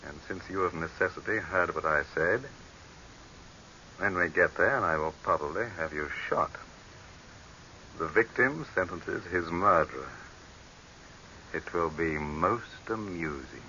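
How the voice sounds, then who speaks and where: An actor playing a proud, tyrannical dictator in a theatrical 1930s radio drama style, declamatory and imperious. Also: male voice, low voice, a little slow, long pauses, bright, forceful tone, highs cut off, full character voice. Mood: coldly amused. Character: tyrannical, proud male dictator, cynical and desperate. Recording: hissy, band-limited 1930s radio recording.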